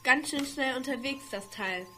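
Young female actor as a curious, quick-witted schoolgirl, high and very bright, amateur radio play with faint noise. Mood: flustered.

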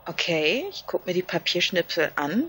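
A woman's voice: on the low side, lively intonation, normal speed, fairly bright tone, a little hiss or hum. A woman speaking casually as a contestant in an audio mystery game, asking questions and announcing her moves.

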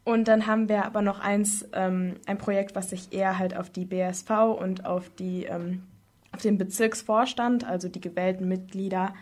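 A teenage girl giving spontaneous interview answers as a student representative, pausing often with fillers in long winding sentences. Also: mid-range voice, steady tone, darkish tone. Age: teens.

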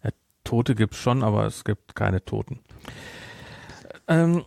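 A man with a German accent chatting casually about a film as a podcast host, with an animated, teasing manner. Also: lowish voice, slow, vocal fry, no echo, studio quality. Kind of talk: casual chat, film discussion.

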